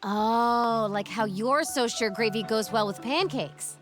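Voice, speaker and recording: An actress playing a plucky, cheeky young adventurer girl in a family adventure game, excitable and wide-eyed. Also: on the high side, slow pace. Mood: curious, determined. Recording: music behind.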